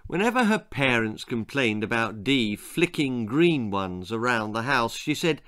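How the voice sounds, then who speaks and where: A man reading a scripted children's story as its author, in a dramatic, comic, emphatic manner with pauses. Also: medium pitch, big pitch swings, fairly bright tone.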